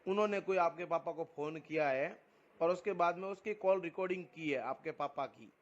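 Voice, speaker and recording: A man's voice: a little high, normal speed. A man with a Rajasthani accent arguing on a phone call, explaining insistently and repeating his phrases. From Rajasthan, India. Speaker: community activist on a phone call. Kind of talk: argumentative phone conversation. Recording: muffled lo-fi phone call recording.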